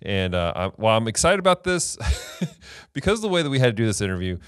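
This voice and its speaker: A man in his thirties, a pastor hosting a casual chat show, upbeat and quick to laugh, close to a studio mic in a small wood-paneled room. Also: fairly low pitch, very animated, clear, resonant tone. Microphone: studio mic on boom arm, close.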